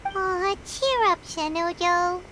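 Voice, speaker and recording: A woman's voice: very high voice, takes it slowly, sharp tone, slight background noise, exaggerated voice. A woman voicing a small childlike fairy companion in a video game, chirpy, blunt and exclamatory. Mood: encouraging. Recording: music behind the voice.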